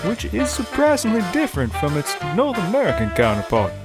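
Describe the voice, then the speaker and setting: A man's voice: high voice, wildly swooping pitch, brisk, loud music behind the voice. A man playing an eager, naive, folksy American coworker with a chirpy, earnest manner in a scripted comedy.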